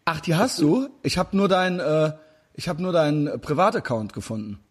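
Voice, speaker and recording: A man's voice: on the high side, lively intonation, moderate pace, slightly rough. A man in his forties interviewing a guest in a casual chat, rambling with many fillers and restarts. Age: 40s. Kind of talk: casual interview over video call.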